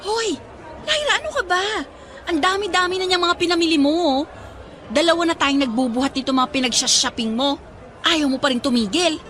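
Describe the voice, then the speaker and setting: A woman's voice: extremely high pitch, expressive, a little fast, fairly bright tone. A woman with a Filipino accent playing a bossy, protective young best friend in a radio drama, scolding and insistent. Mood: exasperated.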